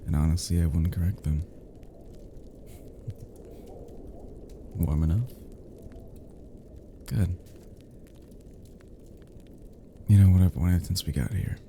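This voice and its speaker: A man playing an affectionate, flirty close friend in an intimate romantic roleplay, speaking in a soft, teasing, breathy murmur with hushed pauses. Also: low voice, slight background noise, exaggerated voice. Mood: cozy, flirty.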